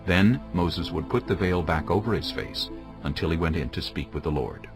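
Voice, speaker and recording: A man's voice: low-pitched, normal speed. A man narrating a dramatized scripture audiobook from a script, as the storyteller between the characters. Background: music bed.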